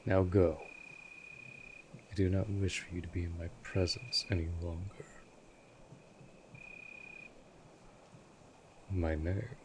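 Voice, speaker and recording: A man playing an ancient, aloof vampire lord in an audio roleplay, speaking formally and imperiously with cold menace and a breathy, husky delivery. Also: sits low, steady tone, normal speed, noisy background. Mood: cold, weary. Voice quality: husky, breathy, dropping near whisper. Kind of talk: scripted audio roleplay drama.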